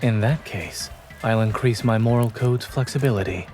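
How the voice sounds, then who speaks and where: Man playing calm male doctor, video game, principled, measured, dry deadpan, light music behind. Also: mid-range voice, lightly acted.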